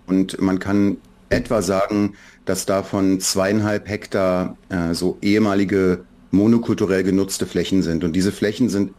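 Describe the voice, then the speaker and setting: A man from Berlin, a children's book author, talking reflectively in a relaxed podcast interview, with many fillers and restarts. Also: deep voice, a little slow. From Berlin, Germany.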